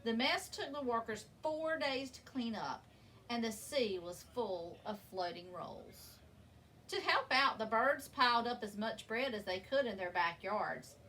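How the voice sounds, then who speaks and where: A woman reading a children's picture book aloud in an expressive, deliberate storytelling manner, leaning on key words. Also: a little high. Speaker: story reader. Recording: distant microphone with background noise.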